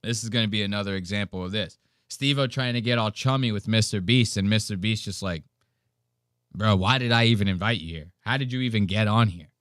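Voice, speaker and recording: A man's voice: lowish voice, a little fast, fairly bright tone, no room sound, studio quality. A man hosting a live stream with casual, profane, slangy and mocking reaction commentary.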